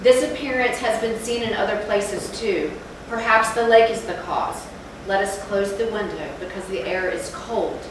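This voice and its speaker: A woman's voice: mid-range voice, moderate pace, lightly acted. A woman playing an anxious, protective companion in a Gothic tale, with formal, commanding stage phrasing. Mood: soothing. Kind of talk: theatrical readers theatre acting. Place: theatre stage, recorded from a distance. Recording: echoey hall, distant mic, clear noise.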